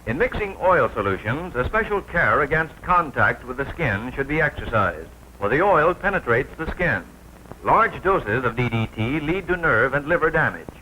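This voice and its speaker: An American man delivers formal instructional narration in the style of a 1940s military film. His voice is bright and forceful at a medium pitch, with animated, rising and falling intonation. Every phrase is precise and announcer-like, over the faint noise of an old film soundtrack. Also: poor audio.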